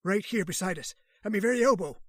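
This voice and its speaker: Male actor as a grieving older police sergeant; husky, a touch high, dry close mic, radio drama. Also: lively intonation, quick pace, fairly bright tone, dry recording. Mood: pleading. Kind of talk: old-time radio drama reading.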